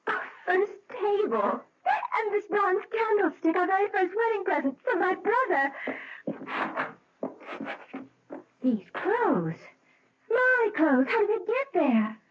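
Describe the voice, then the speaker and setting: A woman's voice: very high-pitched, very animated, takes it slowly, background noise, heavily acted. A middle-aged woman playing an anxious, wistful wife in a radio mystery drama, hesitant and emotional, often breaking her phrases. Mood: astonished. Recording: muffled, dull old radio recording.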